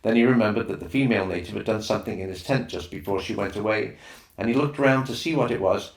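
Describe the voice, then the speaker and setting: Low-voiced man reading, in a roomy space. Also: fast. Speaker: audiobook reader. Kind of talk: scripted narration of a children's novel. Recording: roomy, echoing room sound.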